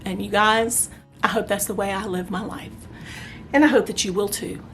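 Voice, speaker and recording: A woman hosting a craft review vlog, chatty and enthusiastic, talking straight to her viewers while she is hoarse and losing her voice. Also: fairly high pitch, a little hiss or hum. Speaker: craft channel host.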